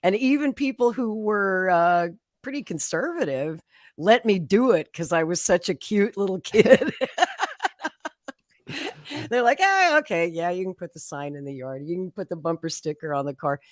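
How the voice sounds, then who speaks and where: A woman's voice: fairly low pitch, lively intonation, normal speed, no room sound, clean studio sound. A woman, a guest telling her political life story in a chatty way, laughing often and acting out quotes. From Oklahoma, USA. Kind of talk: conversational storytelling.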